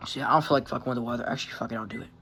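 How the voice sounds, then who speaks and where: A man's voice: medium pitch, steady tone, rapid-fire, vocal fry, bright, forceful tone, a little hiss or hum. A man giving a casual show-and-tell vlog in a rambling, offhand, sweary way, recorded on a handheld phone. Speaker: hobbyist presenting a radio collection. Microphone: phone held in hand.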